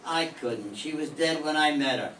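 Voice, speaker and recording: A man's voice: on the high side, normal speed, pushed and bright. An older man playing a dry, sardonic, hard-boiled male private detective who also narrates, in an amateur 1940s-style radio play. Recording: echoey room from far off, faint hiss.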